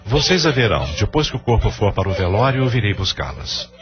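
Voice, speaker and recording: Man acting a very deep-voiced, burdened Brazilian father. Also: very animated, fast. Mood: tender, sorrowful. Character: grieving, burdened father, gentle but evasive businessman. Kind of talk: Brazilian audio drama acting. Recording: dull lo-fi audio under music.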